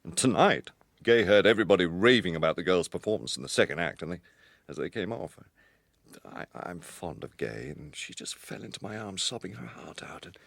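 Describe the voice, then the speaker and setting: Anxious, protective British gentleman in radio detective drama; lowish, hesitant, very dry close sound. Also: lively intonation, a little fast, vocal fry, no echo, heavily acted. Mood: distressed, halting. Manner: hesitant, faltering, apologetic.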